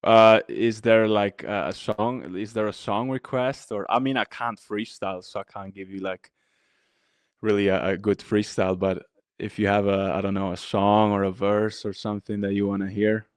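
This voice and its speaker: A man with an Austrian-tinged accent from the Alps of northern Italy, chatting casually as a podcast guest, relaxed and halting with many fillers and restarts. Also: fairly low pitch, clear, resonant tone, no echo. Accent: Austrian-style German. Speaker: guest rapper and activist.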